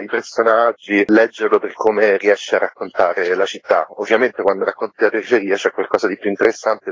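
A man's voice: fairly low pitch, slightly rough, dry recording. A man being interviewed on the radio, explaining things in a colloquial, wry, self-deprecating way. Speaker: interview guest, book series curator. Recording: muffled, lo-fi remote radio link.

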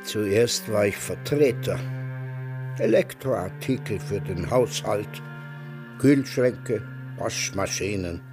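Slow-talking, sardonic old emigrant. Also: male voice, fairly low pitch, music in the background. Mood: matter-of-fact reminiscing. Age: older. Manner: dry, sardonic, deliberate. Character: sardonic, world-weary old emigrant reminiscing and needling his companion. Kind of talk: German radio drama dialogue.

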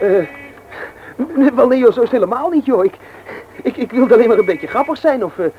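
A man's voice: extremely high pitch, lively intonation, fairly quick, dull audio. An actor playing an evasive, anxious young man who stammers. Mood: flustered. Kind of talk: Dutch radio play acting. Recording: noisy old radio play recording.

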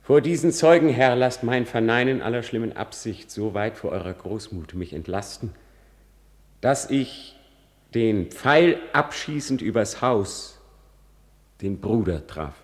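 An actor playing a melancholy, defiant young prince in a radio tragedy, theatrical, moving between brooding and fierce outcry. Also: male voice, lowish voice, animated, measured pace, big-room sound. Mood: solemn, pleading. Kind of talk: classic radio play acting of stage tragedy.

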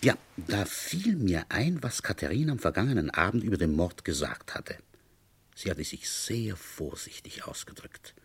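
A man playing a grumbling police inspector in a 1960s German radio play, telling an old case in a husky, conversational way. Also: low-pitched, big pitch swings, moderate pace, exaggerated voice. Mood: wry, reflective.

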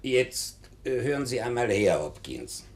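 A man's voice: mid-range voice, big pitch swings, unhurried. A man playing an irritable, dismissive newspaper boss in a 1950s German radio drama, curt and commanding. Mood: threatening. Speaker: actor in a radio play.

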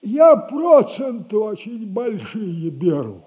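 An actor playing a sly, sardonic old retired soldier who lends money, in a 1960s radio play. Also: male voice, high voice, sing-song, dark tone, muffled recording, exaggerated voice. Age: older. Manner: slow, teasing, sardonic advice.

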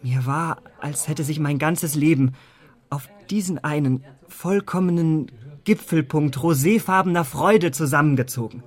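An actor playing an ardent, romantic young gentleman in a radio drama, speaking with earnest rapture. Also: a little high, a little slow. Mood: rapturous.

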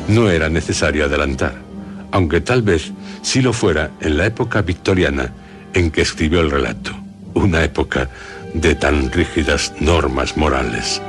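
Deep-voiced Spanish radio presenter, a man. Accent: Spain. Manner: formal, deliberate. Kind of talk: scripted literary radio narration. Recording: music bed under the voice. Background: music.

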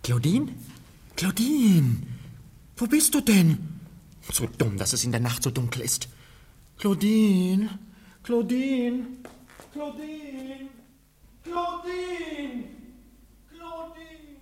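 Lovestruck male servant, radio play, husky, highly animated pitch, theatrical, cooing, easily frightened. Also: extremely slow, pauses often, full character voice. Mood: calling, searching. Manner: theatrical, exclamatory, cooing endearments. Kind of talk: German radio play acting.

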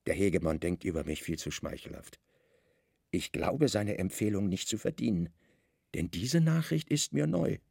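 A secret service chief in a radio drama, guarded and cunning. His voice is husky with some fry, close and dry. He answers calmly, with careful deference. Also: low-pitched, normal speed, dry recording, exaggerated voice. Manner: calm, discreet, deferential. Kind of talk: radio play dialogue.

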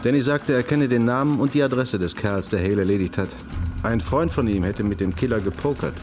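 An actor playing a sober, persistent police detective in a radio crime drama, speaking in a terse, probing way. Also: male voice, medium pitch, a little fast, darkish tone, lightly acted. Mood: sober, reporting. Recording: prominent music behind the voice.

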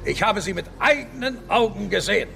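An actor playing a defiant, stubborn male professor in a radio drama, bombastic and sarcastic, with a raspy, gravelly voice. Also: sits high, a little fast, pushed and bright, soft background music, heavily acted. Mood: declaiming. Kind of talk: theatrical German radio drama acting.

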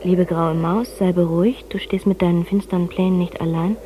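A woman playing a composed letter writer in a radio drama, speaking in a measured, articulate way. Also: lowish voice, little pitch movement, lightly acted. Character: woman reading her letter to a friend, composed. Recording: faintly noisy cassette recording.

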